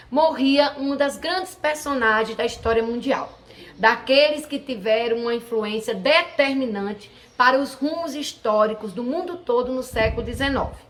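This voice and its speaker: A woman's voice: fairly high pitch, a bit bright, slight background noise. Chatty woman explaining history. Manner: chatty, fillers and restarts, affectionate greetings. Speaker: history channel host. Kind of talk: casual educational talk to camera.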